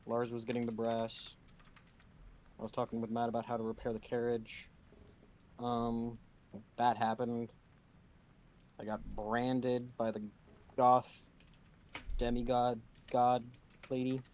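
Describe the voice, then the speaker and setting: A man's voice: mid-range voice, completely flat delivery, slow, pauses often, darkish tone. Sweary man streaming over keyboard noise. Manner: sweary, blunt, joking. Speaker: streamer and player in a tabletop game. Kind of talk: casual game chat on a live stream. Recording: clearly noisy room with keyboard typing.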